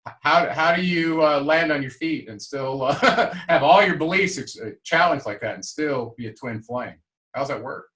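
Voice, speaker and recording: A man hosting a podcast panel, asking questions in a halting, casual way with many fillers and restarts. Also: fairly high pitch, a bit bright. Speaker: podcast host. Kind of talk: casual interview chat.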